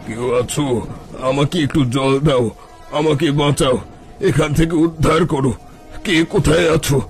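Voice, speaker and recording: Man acting a high-voiced desperate stranded man. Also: fairly even intonation, normal speed, faint music behind, lightly acted. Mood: desperate, pleading. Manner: pleading. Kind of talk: Bengali cartoon dialogue.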